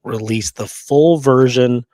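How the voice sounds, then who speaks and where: Mid-pitched man chatting, dry close sound. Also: takes it slowly, warm tone, no echo. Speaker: co-host. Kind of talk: casual movie chat.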